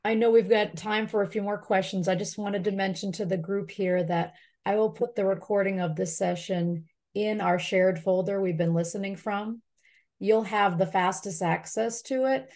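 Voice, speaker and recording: A woman organizing a session, giving informal comments and announcements in a measured, explanatory way. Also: medium pitch, steady tone, darkish tone.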